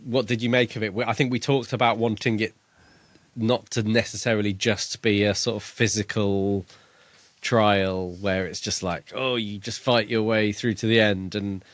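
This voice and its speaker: Low-voiced man chatting casually. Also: fairly even intonation, normal speed, no room sound. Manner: chatty, jokey, prone to laughing. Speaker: podcast co-host. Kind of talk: casual book discussion.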